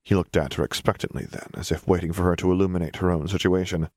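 A man's voice: deep voice, steady tone, fast talker, darkish tone, dry recording, clean studio sound. A man reading a scripted story aloud as an audiobook narrator.

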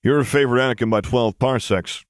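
A man's voice: mid-range voice, fast talker, no room sound, clean studio sound, pitched above the performer's own voice, natural voice. A man voicing a roguish, sardonic father who grumbles and jokes in an audiobook.

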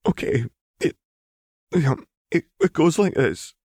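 Man voicing an earnest, anxious young woman, pitched up. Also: expressive, warm tone, husky, no room sound, clean studio sound, exaggerated voice. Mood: nervous, apologetic. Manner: halting, pleading. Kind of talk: audiobook character voice.

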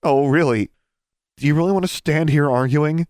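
A man's voice: sits low, animated, fast, vocal fry, slightly rough, dry recording, clean studio sound, full character voice. A man voicing a stubborn teenage witch girl who stammers through chattering teeth, indignant.

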